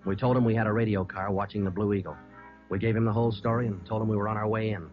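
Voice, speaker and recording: A tough, dry police detective narrating an old radio crime drama, played by a man. He speaks low, rapid and terse. The recording is muffled, with some music. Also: steady tone, lightly acted. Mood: matter-of-fact. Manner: terse, matter-of-fact. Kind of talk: 1950s radio drama acting with scripted narration.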